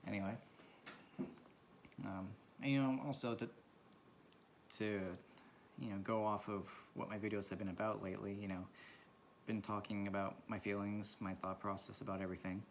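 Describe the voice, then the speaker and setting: Low-voiced man, quiet rambling talk. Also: steady tone, moderate pace, pauses often, slight background noise. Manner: speaks quietly, rambling and reflective. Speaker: vlogger. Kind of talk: casual talk while drawing. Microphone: camera mic from a propped camera.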